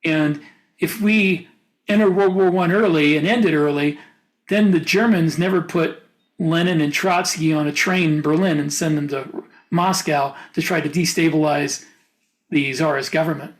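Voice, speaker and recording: A man's voice: on the high side, fairly even intonation, normal speed. An American man co-hosting a podcast, talking casually and reflectively in a panel discussion. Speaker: podcast co-host.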